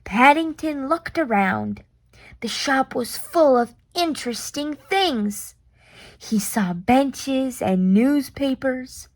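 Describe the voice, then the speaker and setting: A woman reading a children's picture book aloud in an expressive, deliberate way with playful reactions. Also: high voice, dry recording.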